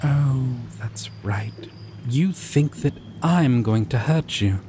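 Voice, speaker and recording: A man voicing a shy, gentle male dragon in a fantasy roleplay, hesitant and reassuring. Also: mid-range voice, slow pace, voice pushed up. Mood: gentle concern. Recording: loud steady background noise.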